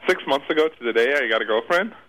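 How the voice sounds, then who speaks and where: A man's voice: medium pitch, animated, fast, a bit bright, low-quality recording. A man speaking as a guest in a casual interview, talking in a rambling, hesitant, informal way with some slang.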